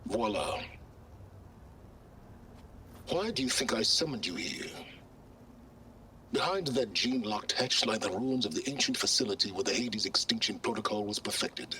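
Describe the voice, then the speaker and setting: A man playing an arrogant, self-important scholar in a video game, with pompous, condescending and deliberate phrasing. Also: fairly low pitch, animated, crowd noise, close to an everyday voice, rough recording. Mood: self-satisfied. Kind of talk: video game cutscene acting.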